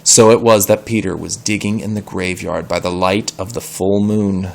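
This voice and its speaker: Deep, gravelly man reading a story aloud. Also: fairly even intonation, moderate pace, slight background noise. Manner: explains words patiently, asks questions. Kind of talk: expressive story read-aloud with word explanations.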